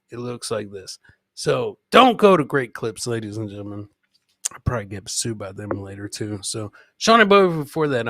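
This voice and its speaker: Higher-voiced man riffing as comedy host. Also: fairly bright tone, no room sound. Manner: rambling, profane, jokey riffing. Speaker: comedian hosting his own show. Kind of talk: casual live comedy commentary on clips.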